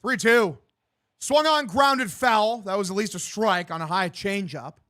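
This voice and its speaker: A young man in his twenties with a distinctly high voice for a male. He streams baseball commentary mixed with casual chat, in loose, slangy language with plenty of swearing and a bro-like way of addressing people. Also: unhurried, bright, forceful tone. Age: 20s. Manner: profane, slangy, bro-like talk. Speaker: sports streamer calling a baseball game and answering chat.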